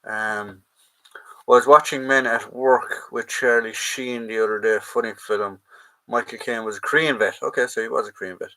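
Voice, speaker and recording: A man's voice: medium pitch, fairly even intonation, normal speed, bright, forceful tone, rough recording. An Irish man hosting a live chat, casually reading out and answering viewer comments, trailing off and restarting.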